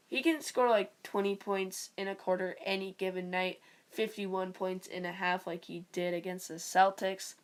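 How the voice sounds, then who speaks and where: Raspy stuffy-nosed woman rambling casually. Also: medium pitch, a bit bright. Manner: rambling, full of "like" and "you know". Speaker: video creator. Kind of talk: casual unscripted sports commentary.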